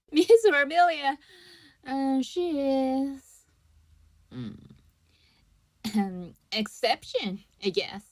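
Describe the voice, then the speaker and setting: Woman playing a high-voiced, kindly young woman. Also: lively intonation, very slow, long pauses, darkish tone, dry recording, heavily acted. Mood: easygoing. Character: kind, patient, easygoing young yokai woman. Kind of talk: radio drama acting.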